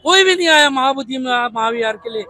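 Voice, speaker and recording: Forceful high-pitched Maharashtrian man. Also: expressive, brisk, no echo. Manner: forceful, insistent, repetitive with rhetorical questions. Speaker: protest leader and party activist. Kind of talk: impassioned protest interview.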